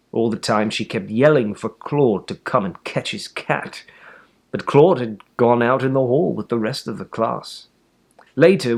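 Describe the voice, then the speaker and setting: A man reading a children's story aloud with animated, theatrical emphasis. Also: medium pitch. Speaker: storybook reader.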